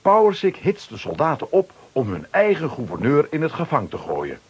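Raspy, animated male storyteller. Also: a little high, fairly quick, dull audio. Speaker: narrator. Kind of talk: scripted dramatic narration of children's radio play.

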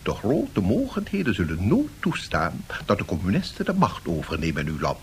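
A low-voiced, swooping, evasive bureaucrat. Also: male voice, huge pitch swings, a little hiss or hum, heavily acted. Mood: patronising, discreet. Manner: measured, officious, placating. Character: evasive, patronising male League of Nations bureaucrat. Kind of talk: satirical radio drama acting.

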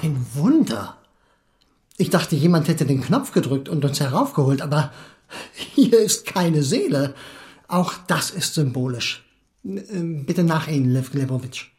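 A man playing a talkative, excitable Russian émigré husband who philosophizes eagerly and halts for words in acted audiobook dialogue. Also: fairly high pitch, noisy background. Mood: wondering. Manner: garrulous, halting when searching for words.

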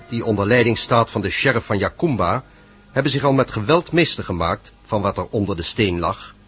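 A man giving a deliberate, dramatic scripted narration for a radio serial. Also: fairly low pitch, fairly bright tone.

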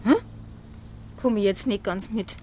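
An actress playing a quick-witted, middle-aged Bavarian shopkeeper with a dry, sharp tongue in a radio drama. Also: female voice, mid-range voice, slight background noise, lightly acted. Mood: mocking banter. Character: quick-witted, thick-skinned female small-town shopkeeper. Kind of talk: radio drama acting in dialect.

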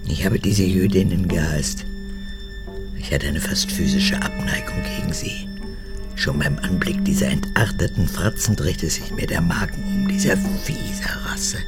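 A woman's voice: extremely low pitch, big pitch swings, unhurried, over loud music, exaggerated voice. An actress playing a frail, cracked-voiced old woman, a needy and spiteful mother with a childlike wheedling manner, in a radio drama. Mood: hateful, unrepentant. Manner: wheedling, childlike, swinging between whisper and outburst.

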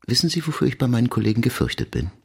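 An actor playing a scornful, imperious police chief inspector in a radio crime drama, probing pointedly and deliberately. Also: male voice, mid-range voice, wildly swooping pitch, creaky, slightly rough, heavily acted. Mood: pressing, superior. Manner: deliberate, pointed interrogating delivery.

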